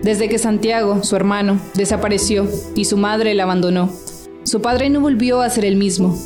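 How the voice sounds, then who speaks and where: A woman's voice: mid-range voice, fairly even intonation, normal speed, music in the background. A woman delivering scripted narration as the storyteller of a radio drama.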